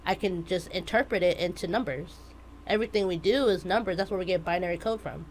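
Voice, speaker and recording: A woman in her twenties, a math teacher chatting casually as a guest, telling stories in an animated way. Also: lowish voice, fast, a little hiss or hum, low-quality recording. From United States. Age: 20s. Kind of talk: casual interview chat.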